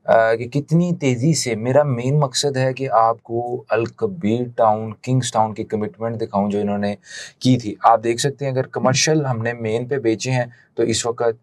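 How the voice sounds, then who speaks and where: A man's voice: mid-range voice, fairly even intonation, moderate pace, no room sound. A man in his thirties with a Pakistani accent presents a promotional real estate video as a consultant, explaining things like a salesman into a lapel mic.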